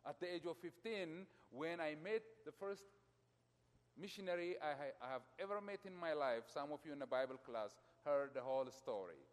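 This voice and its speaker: A middle-aged man from Ethiopia preaching a sermon as a pastor. He speaks emphatically to his congregation, his loudness surging and falling. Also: fairly high pitch. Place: church. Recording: long church reverb.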